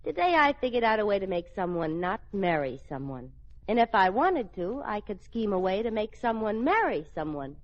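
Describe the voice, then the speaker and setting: An actress playing a playful, scheming sitcom wife in an old radio comedy, with a coy, wheedling delivery. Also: female voice, mid-range voice, very animated, moderate pace, close to an everyday voice. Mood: sleepy, smug. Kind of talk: 1940s-50s radio sitcom acting. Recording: dull old radio recording.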